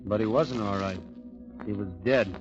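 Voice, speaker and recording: A man's voice: lowish voice, normal speed, close to an everyday voice. An actor playing an earnest, bewildered young man accused of murder, who also narrates, in 1940s radio drama style. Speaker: narrator and lead. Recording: dull old radio recording with music.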